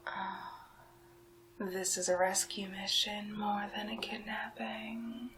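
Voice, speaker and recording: A woman playing a sly, possessive medieval witch in a hushed, drawn-out, teasing ASMR roleplay. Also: medium pitch, level tone, noisy background, close to an everyday voice. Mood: coaxing. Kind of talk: ASMR roleplay, soft speaking and whispering.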